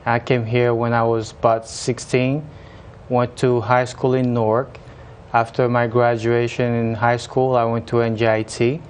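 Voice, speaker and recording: A man's voice: medium pitch, little pitch movement, unhurried, clear, resonant tone. Haitian-accented man, hesitant and earnest. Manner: hesitant, many uh fillers and restarts. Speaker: municipal engineer guest. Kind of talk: casual interview chat.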